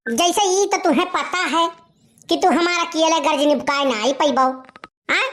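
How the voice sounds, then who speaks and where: A woman's voice: high voice, moderate pace, a bit bright. A woman playing a sassy, impatient young village woman in a cartoon comedy, scolding brazenly with an Awadhi accent. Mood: scolding, dismissive. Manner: scolding, brazen, repetitive catchphrases. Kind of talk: animated comedy dialogue.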